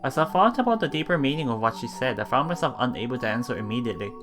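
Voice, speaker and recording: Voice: medium pitch, expressive, racing. A let's play streamer reading a visual novel aloud, stumbling and repeating phrases, with murmured asides. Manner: rereads lines after stumbles, murmured reactions between read passages. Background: game music.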